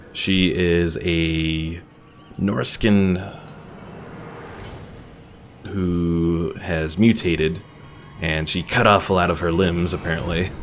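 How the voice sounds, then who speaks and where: A man's voice: low-pitched, vocal fry. A man giving casual live commentary as a game streamer, chatty and thinking aloud. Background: game music.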